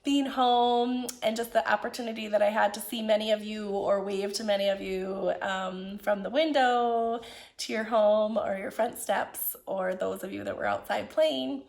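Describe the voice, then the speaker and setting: A woman hosting a children's story time, talking and reading aloud in an expressive, deliberate way. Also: mid-range voice, vocal fry. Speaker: children's and families director reading stories. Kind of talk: warm greeting and read-aloud children's storytelling.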